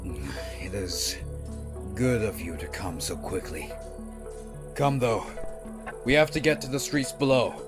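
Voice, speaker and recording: A man plays a battle-worn ninja master in a fantasy audiobook. The voice is on the low side, gruff and terse, full of pride, set over clearly audible music. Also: lively intonation, slow, pauses often. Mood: urgent. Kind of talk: fantasy audiobook character acting.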